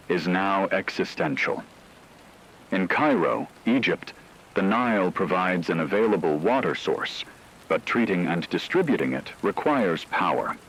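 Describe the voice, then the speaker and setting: A man reading a scripted emergency broadcast in a grave, measured way, breaking it into short phrases. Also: deep voice, a bit bright, slightly rough, rough recording. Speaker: radio broadcast narrator. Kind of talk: scripted narration, emergency news-broadcast style.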